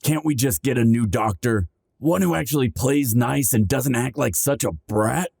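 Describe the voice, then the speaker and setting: A gravelly, exasperated dragon captain. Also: male voice, mid-range voice, normal speed, a little mellow, no room sound, studio quality. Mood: annoyed. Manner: gruff, exasperated, complaining. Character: hot-tempered dragon captain, loyal but frustrated. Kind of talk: audio roleplay acting.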